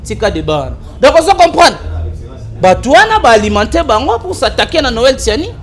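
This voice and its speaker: A man with a Congolese accent, an animated and argumentative political commentator on a talk show. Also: very high voice, normal speed. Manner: animated, argumentative, mixes French and Lingala.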